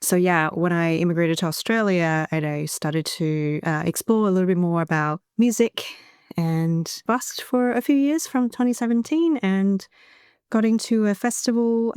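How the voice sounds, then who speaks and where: A woman in her thirties from Taiwan, an English speaker, talking in a soft-spoken, thoughtful way as an interview guest. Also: mid-range voice, clean tone, no room sound, studio quality. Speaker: singer-songwriter guest. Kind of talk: relaxed interview chat.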